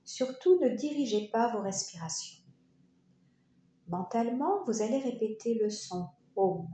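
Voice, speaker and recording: A woman leading a guided yoga relaxation, speaking in short, soothing, unhurried phrases with pauses. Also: on the high side, expressive, dark tone. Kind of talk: guided meditation narration. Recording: roomy echo, faint background noise.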